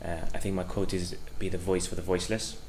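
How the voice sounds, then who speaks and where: A man in his twenties, from Afghanistan, with a Welsh accent, talking through his life in an interview in a reflective way, often pausing with fillers. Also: low-pitched, level tone, a little mellow, slight background noise. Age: 20s. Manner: reflective, hesitant, many fillers. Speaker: guest. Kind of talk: casual interview.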